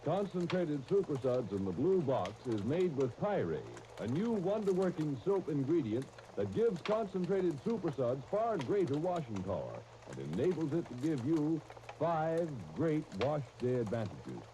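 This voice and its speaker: A man's voice: a little high, very animated, moderate pace, warm tone. An American man announcing from a script in dramatic, emphatic 1930s radio style. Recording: noisy 1939 radio broadcast disc.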